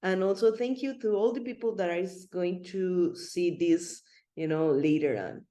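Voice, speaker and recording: A woman's voice: lowish voice, a little slow, warm tone, roomy sound. A middle-aged woman from Rica, giving an online presentation in a friendly, rambling way with many fillers and restarts. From Costa Rica. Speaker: web3 consultant presenter.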